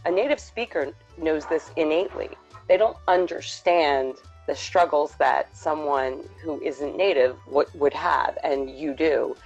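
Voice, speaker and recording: Dull, lo-fi audio with music behind a woman's low voice. She is a student giving a casual, conversational testimonial. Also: unhurried, a little mellow, slightly rough.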